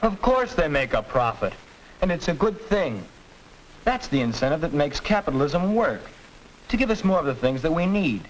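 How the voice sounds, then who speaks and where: A man's voice: a little high, sing-song, normal speed, poor audio. An American man playing a confident, assertive schoolboy debater in a 1940s educational film. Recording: hissy old film soundtrack.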